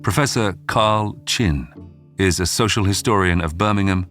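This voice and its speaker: A man hosting and narrating a scripted history documentary in a measured, dramatic storytelling manner. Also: low voice, fairly bright tone. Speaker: documentary podcast narrator and host. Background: background music.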